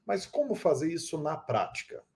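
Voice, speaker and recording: A man's voice: fairly high pitch, big pitch swings, normal speed, creaky, a little mellow. A man hosting an interview podcast, reading a fluent opening and then chatting with his guest. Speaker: host.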